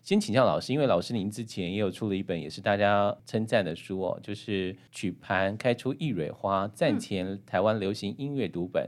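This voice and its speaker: Deep-voiced Taiwanese man hosting an interview. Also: fairly quick, few pauses. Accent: Taiwanese Mandarin. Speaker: podcast host and interviewer. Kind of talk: conversational book interview.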